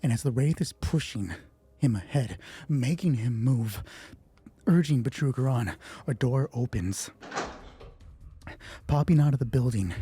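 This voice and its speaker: A man with a husky voice narrates a solo tabletop game casually, thinking aloud and swearing freely as he talks himself through rulings. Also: mid-range voice, animated, a little slow, long pauses, warm tone, no echo. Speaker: solo roleplay player and narrator.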